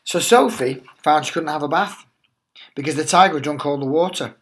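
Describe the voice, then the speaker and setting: A middle-aged man, a headteacher, reading a picture book aloud to young children in an expressive, reassuring way. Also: fairly high pitch, fairly quick.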